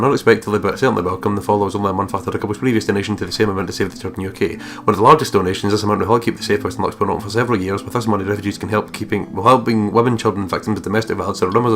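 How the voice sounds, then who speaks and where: A man hosting a let's play, reading a visual novel aloud with jokey, sweary asides. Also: on the low side. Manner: jokey, swears freely, breaks off into ad-libs. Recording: game music behind the voice.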